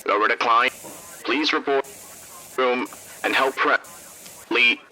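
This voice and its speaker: A terse hospital dispatcher played by a man calls over a choppy two-way radio. The delivery is slow and official, with a piercing, very bright tone and faint hiss. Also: mid-range voice, voice pushed up, low-quality recording. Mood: official, flat. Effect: choppy radio filter.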